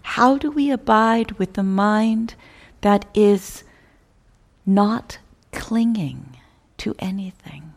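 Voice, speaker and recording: A woman teaching a guided meditation in a measured, contemplative way, pausing between short phrases and softly trailing off at the ends. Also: medium pitch.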